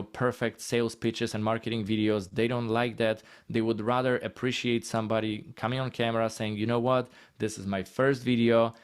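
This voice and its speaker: A man with a Polish accent giving a casual, encouraging presentation in short conversational phrases. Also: mid-range voice. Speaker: guest speaker on healthcare marketing.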